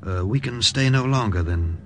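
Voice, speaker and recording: An actor playing a formal, measured naval officer giving instructions in a 1950s radio drama. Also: male voice, medium pitch, lively intonation, natural voice. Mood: businesslike, calm. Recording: noisy old radio recording.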